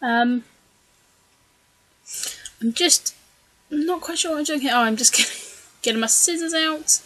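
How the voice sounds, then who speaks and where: A woman talks through her scrapbooking project in a loose, chatty voice-over, stopping often for ums and side comments. Her voice is bright and a touch high, and a little worn, as if she is losing it. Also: unhurried, no room sound, poor audio. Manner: chatty, rambling, many ums and self-corrections. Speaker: scrapbooking video creator. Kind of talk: casual voice-over narration of a craft process.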